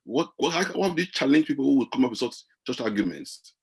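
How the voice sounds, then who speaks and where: A man taking part in a panel discussion, asking questions in a halting, hesitant way and often repeating words. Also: mid-range voice, lively intonation, vocal fry, breathy edge.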